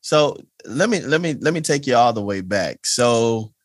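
A man's voice: mid-range voice, animated, normal speed, no echo, studio quality. A man hosting a podcast, chatting in a laid-back way and laughing often. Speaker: podcast host. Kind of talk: casual conversation.

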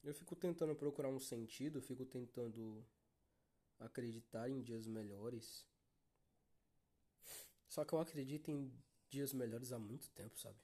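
A man's voice: medium pitch, moderate pace, pauses often, dark tone. A young Brazilian man with a Brazilian Portuguese accent hosting a solo podcast, talking in a weary, downcast way with heavy swearing and calling his listeners "guys". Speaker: podcast host. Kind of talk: solo confessional podcast monologue.

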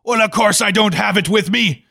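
Menacing comic pirate captain: raspy, forceful, stage pirate accent, radio-play bluster. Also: male voice, high-pitched, moderate pace, no room sound, clean studio sound, heavily acted. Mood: gruff, haughty. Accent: put-on stage pirate. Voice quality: gravelly, rough. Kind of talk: comic 1940s-style radio play acting.

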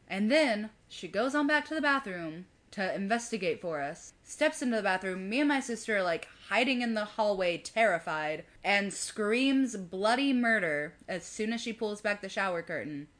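A woman's voice: mid-range voice, animated, normal speed, hardly any pauses, fairly bright tone. A woman co-host shares a personal story in a casual, conversational way. Her humor is dry and self-deprecating, and she tells it as if chatting to a friend. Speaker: video co-host.

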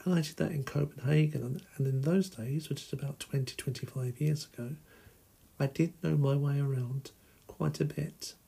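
A middle-aged man from England hosting a solo podcast, talking slowly and deliberately in a husky, gravelly voice. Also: on the high side, fairly even intonation, mellow. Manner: slow, deliberate and plain. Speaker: podcast host. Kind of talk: slow solo podcast monologue.

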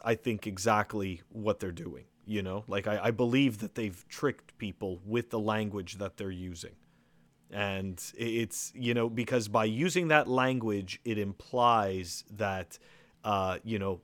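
A man's voice: on the low side, no room sound. A man hosting a casual podcast conversation, talking loosely with many "you know" and "like" fillers.

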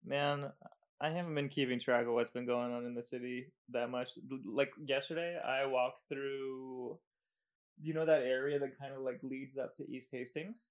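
A man's voice: medium pitch, steady tone, normal speed. A man hosting a casual podcast chat, rambling and laid-back, trailing off with fillers; he came from Romania as a child. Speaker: podcast host.

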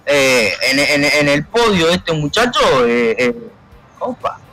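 Radio co-host, man, animated swoopy voice, crude jokes. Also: fairly high pitch, slow pace, faint music behind, poor audio. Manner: lively crude joking. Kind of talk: casual banter.

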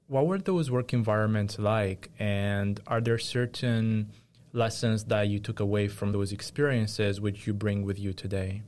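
A man's voice: on the low side, fairly even intonation, a little slow, darkish tone. A man hosting an interview show, asking long, polite questions and giving short affirmations. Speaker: host.

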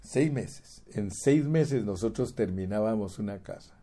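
A man preaching with a dark, mellow voice, stressing his points by repeating words. He keeps turning to the listener as brother, rising in force at key lines. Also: fairly low pitch, animated, measured pace. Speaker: pastor. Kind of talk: sermon. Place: United States.

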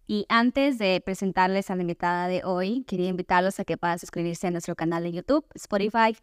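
A woman's voice: fairly low pitch, brisk, smooth, dry recording, studio quality. A woman with an Ecuadorian accent hosting a podcast in a conversational style. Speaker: podcast host.

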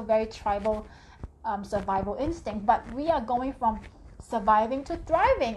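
A woman, her voice sitting a bit higher than most. She hosts a casual live talk, reading viewers' comments aloud and often tagging "right?" onto her points. There is a faint hiss behind her. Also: a little mellow, poor audio. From Singapore. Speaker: live stream host.

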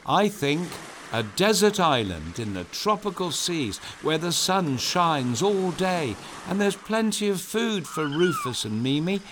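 A man's voice: sits high, noisy background. A man hosting a children's story show, reading a script in a playful, theatrical and explanatory way. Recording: clear music behind voice.

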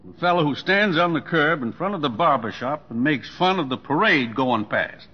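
Old folksy dad needling his grown son, husky, swings up and down, muffled old radio recording. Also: a little high, animated, normal speed, big-room sound. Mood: stern urging. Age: older. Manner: folksy, pointed, skeptical questioning. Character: blunt, needling elderly father of a grown son. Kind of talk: old-time radio drama acting.

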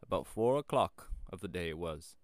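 A man voicing an old rustic shepherd in a storybook, speaking in country dialect with slow, emphatic, grave warnings. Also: deep voice, expressive, no room sound. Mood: grave, insistent. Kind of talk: storybook character voice.

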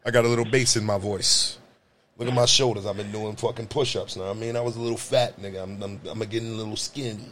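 Profane, loud joking New man. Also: fairly even intonation, fast talker, slight background noise. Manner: loud, profane, joking, swings pitch high when riffing. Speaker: podcast host. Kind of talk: crude comedic banter.

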